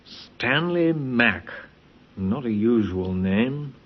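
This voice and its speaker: A man's voice: mid-range voice, expressive, drawn-out words. An actor playing a dutiful, businesslike male police sergeant in a 1950s radio drama. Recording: dull, echoey old radio recording.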